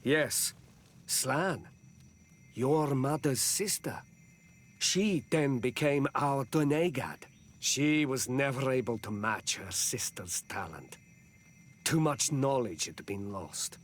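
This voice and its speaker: Man acting a slow, solemn village clan chief. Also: mid-range voice, a little hiss or hum, close to an everyday voice. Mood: sombre. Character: measured male clan chief of island village. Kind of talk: video game cutscene acting.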